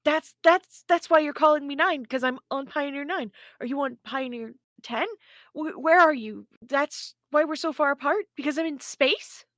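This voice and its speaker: A woman's voice: high voice, moderate pace, a bit bright, no room sound, clean studio sound. A woman playing a bewildered, anxious space probe in a podcast table read, hesitant and stammering. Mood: panicked. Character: bewildered newly awakened space probe, anxious, childlike, pleading.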